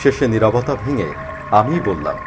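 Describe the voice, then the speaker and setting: A man narrating a spooky first-person story in an expressive, dramatized way. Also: on the low side, moderate pace. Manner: expressive, acts out the character's worried thoughts. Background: faint music.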